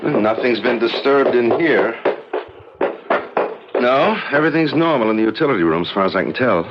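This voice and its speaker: An actor playing a practical, skeptical husband in a 1970s radio drama, brusque and matter-of-fact, sometimes impatient. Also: male voice, mid-range voice, lively intonation, moderate pace, lightly acted. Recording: noisy, muffled old radio broadcast recording.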